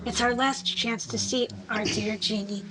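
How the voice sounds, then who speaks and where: A woman acts a bereaved young sister in a vintage radio horror play. Her voice is a little high, earnest and dramatic, with an intimate edge of jealousy. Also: steady tone, normal speed, close to an everyday voice, low-quality recording. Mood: consoling, urgent.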